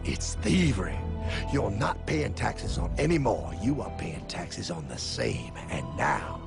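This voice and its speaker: A man's voice: fairly low pitch, wildly swooping pitch, a little slow, vocal fry, full character voice. A man voicing an indignant, worldly cartoon friend who lectures emphatically and dramatically about hidden truths. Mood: insistent, indignant. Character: worldly, indignant male friend explaining money conspiracies. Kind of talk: cartoon voice acting. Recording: music behind the voice. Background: background music.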